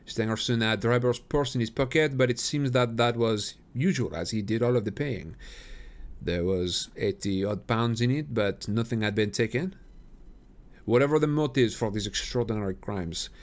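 A man reading aloud and voicing a male police inspector in a steady, matter-of-fact way. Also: on the low side, moderate pace, natural voice. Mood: reporting.

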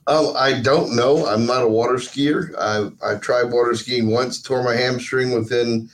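A man's voice: mid-range voice, steady tone, unhurried, slight background noise, poor audio. Male sports coach as interview guest, middle register, conversational and unvarnished. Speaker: team coach, podcast guest. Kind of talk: casual interview answers.

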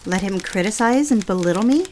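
A woman's voice: medium pitch, lively intonation, a little fast, darkish tone, slight background noise, lightly acted, low-quality recording. A woman playing a hurt, defiant young personal secretary in an audio drama, earnest and emotional, also narrating her own story.

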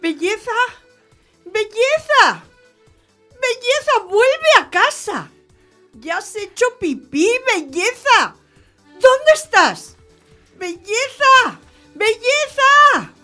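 An actress in a radio play voicing a frantic dog owner, calling out in drawn-out cries from a balcony. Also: female voice, extremely high pitch, big pitch swings, sharp tone, faint music behind, heavily acted. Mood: frantic, pleading.

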